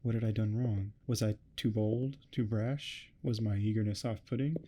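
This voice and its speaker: A man's voice: lowish voice, steady tone, normal speed, mellow, close to an everyday voice. A man playing an obsessive, menacing neighbor who longs to be a vampire, in a theatrical ASMR roleplay monologue. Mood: wounded. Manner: theatrical, grandiose monologuing, smugly threatening.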